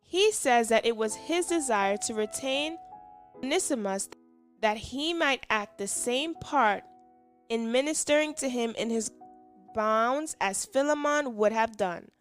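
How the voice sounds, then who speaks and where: Woman, high pitch, unhurried pace, scripted devotional reading, faint music. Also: lively intonation. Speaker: devotional reader.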